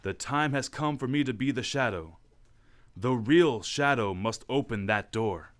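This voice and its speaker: A man playing a calm, determined gentleman crime-fighter in an old-time radio drama, measured and commanding. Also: medium pitch, close to an everyday voice. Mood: resolute. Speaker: radio actor.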